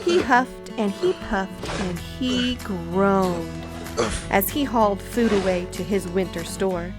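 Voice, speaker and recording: A woman storyteller narrating a children's picture book in an expressive, deliberate way. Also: medium pitch, music in the background.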